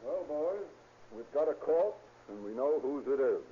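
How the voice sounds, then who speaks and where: An actor playing a shrewd, sardonic police captain who questions with dry irony in 1930s radio drama style. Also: male voice, medium pitch, lively intonation, a little slow, natural voice. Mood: thoughtful. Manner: probing, dryly ironic questioning. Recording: scratchy, muffled 1930s radio recording, hiss.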